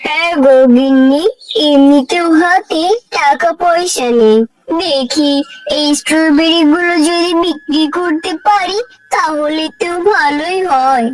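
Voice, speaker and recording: An agreeable, humble village father in a cartoon, played by a woman, speaking slowly in a very high voice. Loud music sits behind the simple, good-natured delivery. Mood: hopeful. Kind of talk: cartoon dialogue. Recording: distant voice under loud music.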